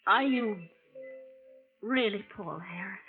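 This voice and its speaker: An actress playing an earnest young nurse, gentle but firm, in a vintage radio drama. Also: female voice, medium pitch, slow pace. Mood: pleading. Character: young woman nurse, earnest, lovestruck, suspicious. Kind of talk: 1940s radio acting. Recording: tinny old radio broadcast, loud music.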